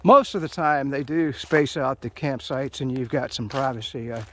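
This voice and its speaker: A man's voice: medium pitch, moderate pace, bright, forceful tone, a little hiss or hum. Rambling middle-aged man on a forest walk. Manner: rambling, self-mocking, many fillers. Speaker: hiking vlogger. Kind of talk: casual walking commentary. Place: forest trail outdoors. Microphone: phone camera held while walking.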